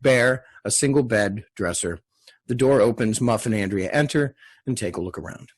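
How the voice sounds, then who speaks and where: A man's voice: fairly low pitch, expressive, moderate pace, husky. A man reading the stage directions of a television script as scripted narration.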